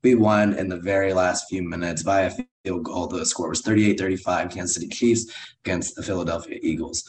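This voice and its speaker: A deep-voiced American man co-hosts a review podcast in an upbeat, chatty way. His voice is a little hoarse, and the room around him adds a clear echo. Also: steady tone, a little fast, darkish tone, a little hiss or hum. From United States. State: slightly hoarse. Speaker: co-host of a film and TV review show. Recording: roomy echo.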